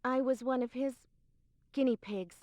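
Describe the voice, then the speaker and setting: A woman's voice: sits high, fairly even intonation, normal speed, a little mellow, lo-fi sound, natural voice. An actress playing a composed, secretive young woman in a video game cutscene, with a cool, matter-of-fact delivery. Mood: grave. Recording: roomy, faint game music behind.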